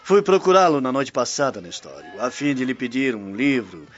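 A man's voice: medium pitch, sing-song, normal speed, lightly acted. An actor playing an imposing, suavely menacing male Roman patrician in a radio drama, probing and mock-friendly. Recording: dull lo-fi radio drama recording. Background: faint music.

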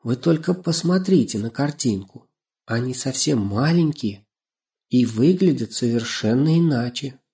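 A man voicing a tiny, friendly, gentle fairy-tale little man who speaks earnestly and thoughtfully. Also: mid-range voice, lively intonation, measured pace.

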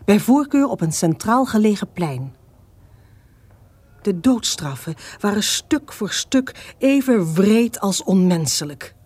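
A woman's voice: mid-range voice, very animated, slow pace, darkish tone. A woman narrating a historical radio drama in a scripted, expository storytelling style. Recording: faint background noise.

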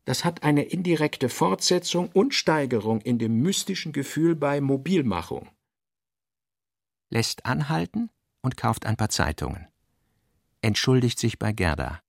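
A man giving a scripted literary narration, reading out notes and drafts in deliberate fragments with pauses. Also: medium pitch, expressive, gravelly, no echo, studio quality. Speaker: radio drama narrator.

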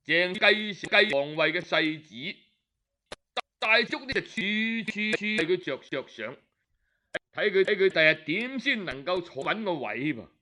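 A man with a Cantonese accent telling a historical tale colloquially, with drawn-out particles and interjections. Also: high voice, lively intonation, bright, forceful tone, slight background noise. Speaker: storyteller. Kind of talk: lively traditional oral storytelling of historical tales.

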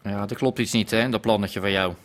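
A man's voice: fairly low pitch, fairly even intonation, brisk, close to an everyday voice. An actor playing a skeptical man muttering while counting money in a radio play.

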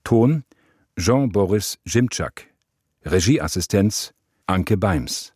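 A man reads out radio play credits in a scripted announcement. His voice is very low and husky, recorded close and dry. The delivery is measured and formal. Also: expressive, a little mellow, dry recording.

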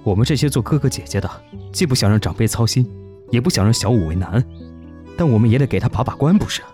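A man playing a courteous, protective eldest brother in an audio drama, speaking calmly and earnestly. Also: medium pitch, fast, faint music behind, close to an everyday voice. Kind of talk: Chinese audio drama acting.

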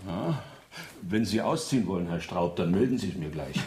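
An actor in a German radio play voicing a plodding, sly, middle-aged caretaker who is matter-of-fact and nosy. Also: male voice, low voice, lively intonation, normal speed, warm tone. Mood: sly, unbothered. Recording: roomy echo, clear background noise.

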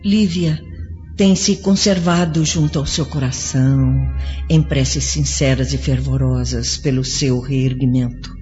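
Someone voicing calm gentle spirit friend explaining things, music under, muffled audio. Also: medium pitch, a little slow, natural voice. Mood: reassuring.

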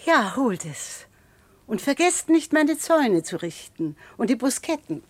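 An actress playing a wistful boat-rental woman in a lyrical radio drama, speaking in dreamy, measured phrases. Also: high-pitched, very animated, dry recording, heavily acted. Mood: insistent. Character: dreamy, wistful woman who rents out boats.

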